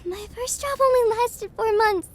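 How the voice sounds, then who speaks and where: A young woman acting a distraught, self-deprecating best friend confiding her troubles in an intimate audio roleplay, tearful and halting. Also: very high-pitched, a little hiss or hum. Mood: distraught, bitter.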